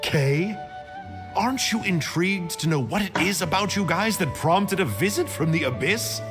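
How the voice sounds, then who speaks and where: A man's voice: sits high, expressive, normal speed. A man voicing a chirpy, chatty villainous mage in a video game, mock-polite, theatrical and rambling. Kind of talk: video game character acting. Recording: game music underneath.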